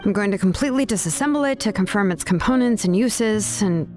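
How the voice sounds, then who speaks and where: A woman's voice: medium pitch, animated, brisk, a little mellow, soft background music, close to an everyday voice. A woman playing a shrewd young craftswoman in a video game, composed, analytical and skeptical. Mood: guarded.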